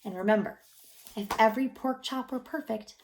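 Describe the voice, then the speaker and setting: A woman reading a picture book aloud to young children as a childcare teacher, expressive and gently encouraging, prompting her listeners with questions and often dropping into a near-whisper. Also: a little high, moderate pace, a little hiss or hum, poor audio.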